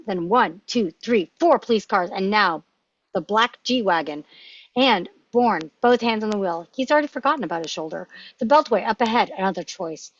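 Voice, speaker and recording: A woman's voice: medium pitch, fairly bright tone, dry recording. A woman reading screenplay action aloud as narration, putting emphasis on punchy action words. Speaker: table read participant. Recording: dry, dull lo-fi sound.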